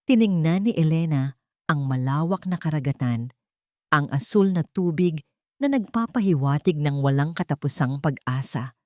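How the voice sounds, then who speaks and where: Female narrator for heartfelt scripted drama stories: low register, earnest delivery, dry studio-like sound. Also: expressive, normal speed, a little mellow, no room sound. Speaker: story narrator.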